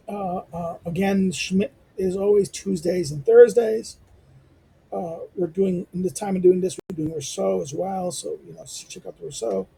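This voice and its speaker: A hoarse man winds down a reading lecture in a loose, rambling way, stumbling over fillers and restarting his sentences. His voice sits high for a man, with a rough, gravelly edge and a dark, mellow tone. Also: slight background noise, low-quality recording. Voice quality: squeaky. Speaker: lecturer and channel host. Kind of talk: informal spoken outro to a reading lecture.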